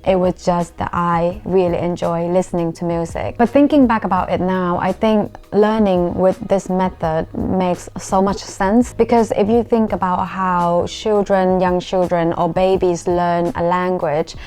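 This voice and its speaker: Low-pitched Thai-accented young woman. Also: steady tone, a little slow, few pauses, darkish tone, clean tone. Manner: earnest, explanatory, self-deprecating. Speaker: YouTuber. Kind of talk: talking to camera.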